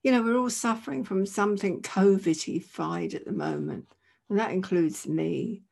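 Croaky-voiced woman preaching. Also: medium pitch, normal speed, darkish tone. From England. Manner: earnest, conversational, many "you know" asides. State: croaky voice with a chesty cough. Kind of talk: informal sermon to camera. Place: indoors at home.

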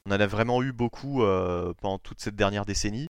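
A man's voice: on the low side, brisk, smooth, dry recording. A man from France hosting a casual comics podcast, talking loosely with many hesitations and the odd swear word. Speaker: podcast host.

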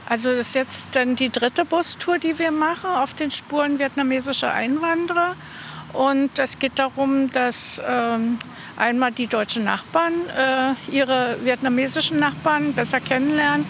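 A woman with a high voice answers interview questions as an organiser. She pauses often to find her words, with faint street and vehicle noise behind her. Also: fairly even intonation, fairly bright tone. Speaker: interviewee, bus tour organiser.

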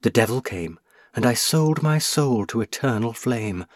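Give me a sorrowful young woman ghost, a burned witch, speaking softly and lovingly, her voice climbing high when grief takes hold, as a man reading a storybook puts her on, in a dry, close recording. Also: animated, a little slow, no room sound. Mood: tender. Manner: pleading, tender, rising to anguish. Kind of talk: audiobook reading.

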